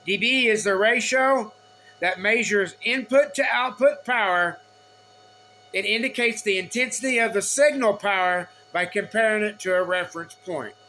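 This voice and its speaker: Male explainer, high and bright voice, informal, choppy note-reading delivery, slightly noisy recording. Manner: halting, repetitive, reads in short phrases. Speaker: explainer of radio antenna measurements.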